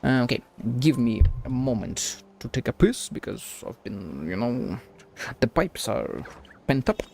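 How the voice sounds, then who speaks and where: Creaky-voiced man streaming, lively pitch. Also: measured pace, slight background noise. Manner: loose, crude, playful asides. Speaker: game streamer. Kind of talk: casual livestream gameplay commentary.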